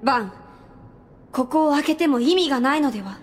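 A woman's voice: high-pitched, expressive, a little fast. A woman acting a composed young male priest in an anime audio drama, formal and questioning. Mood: doubtful, polite.

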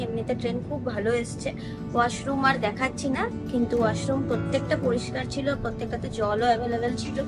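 A woman's voice: on the high side, low-quality recording. A woman in her thirties, a travel vlogger, giving a chatty running commentary on her trip into a phone held at arm's length. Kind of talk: casual travel vlog narration. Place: train compartment and busy station outdoors.

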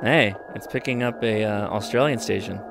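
A man's voice: fairly low pitch, normal speed, creaky, background noise. A man giving a casual hands-on product review, informally thinking aloud as he goes. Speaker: host of a radio gear review channel. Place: indoors at a desk.

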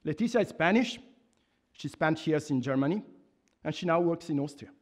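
A man's voice: fairly high pitch, lively intonation. A man in his thirties from Italy with an Italian accent, earnestly reading a formal laudation from a lectern in a university hall. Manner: earnest, measured, with restarts and emphatic pauses. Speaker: professor giving a laudation speech. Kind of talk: formal speech read from notes. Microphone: microphone on a stand at a lectern.

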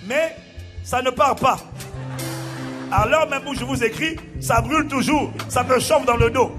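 A middle-aged man, a pastor, preaching in a large church on a handheld mic and reading out testimonies with animated exclamations. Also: extremely high pitch, measured pace, music in the background. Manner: animated, exclaiming, bursts into shouting and laughter. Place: large church auditorium with a crowd.

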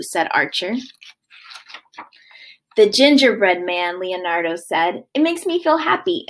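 A woman in her thirties, a kindergarten teacher, reading a children's book aloud with expressive, sing-song delivery. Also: on the high side, slightly rough. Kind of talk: read-aloud of a children's book to young kids. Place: living room at home, indoors.

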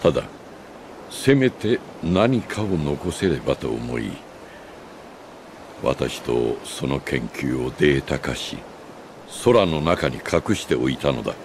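Wise solemn old game sage, super low gravelly voice, takes his time, grave and gentle. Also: sing-song, a little hiss or hum, exaggerated voice. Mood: remorseful. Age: older. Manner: grave, measured, philosophical delivery with deliberate pauses. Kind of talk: Japanese video game cutscene acting.

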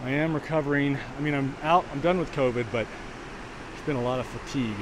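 A man narrates a hike up a slippery, snowy trail, talking casually in between breaths. His voice sits at an ordinary middle pitch. The camera mic picks up strong outdoor noise and rushing water behind him. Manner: chatty, talks between breaths while climbing. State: winded from walking uphill. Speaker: vlogger and virtual walk guide. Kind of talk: casual narration while hiking. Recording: outdoor recording with loud wind and water noise.

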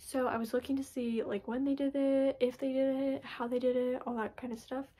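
A young woman talks straight to a close camera in her bedroom, her voice a bit raspy as she recovers from being sick. She sits fairly high in pitch with a soft, mellow tone. She rambles loosely, padding her sentences with "like" and restarting them. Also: little pitch movement, a little fast. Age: young adult. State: raspy from recent illness. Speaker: vlogger. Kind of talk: casual personal vlog. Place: bedroom, indoors. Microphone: camera in front at close range.

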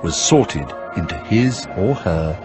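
An actor as a storybook narrator in a video game, deliberate and dramatic. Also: male voice, sits low, sing-song, heavily acted. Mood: measured, dramatic. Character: measured storybook narrator of a magical tale. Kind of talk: scripted narration. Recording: game music behind.

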